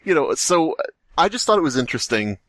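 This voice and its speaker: A man's voice: a little high, big pitch swings, brisk, a bit bright, no room sound. A man co-hosting a podcast, chatting casually as a rambling, sardonic storyteller who likes to joke. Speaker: podcast co-host. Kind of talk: casual comedic podcast chat.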